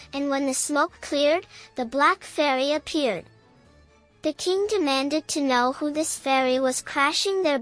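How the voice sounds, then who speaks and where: A woman reading a children's bedtime story aloud in a slow, gentle storytelling manner. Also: high-pitched. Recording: with faint background music.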